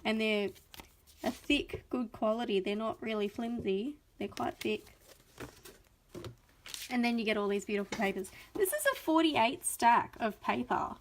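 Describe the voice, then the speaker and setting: A woman's voice: mid-range voice, a little hiss or hum, rough recording. A young adult Australian woman hosting a chatty live craft unboxing, gushing and enthusiastic, a little sniffly from a cold. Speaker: craft video host.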